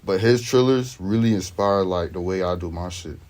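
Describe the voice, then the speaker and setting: A man's voice: low voice, normal speed, darkish tone. A young man from Sweden, a rapper, chatting in a laid-back, slangy way as the interview guest. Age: young adult. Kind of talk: casual interview chat.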